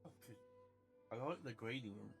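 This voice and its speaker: A man hosts a gameplay video with casual, unscripted commentary. He drops offhand, muttered asides in a mid-pitched voice that moves around a lot, with music clearly audible behind him. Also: lively intonation, normal speed, a bit bright. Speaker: gaming video host.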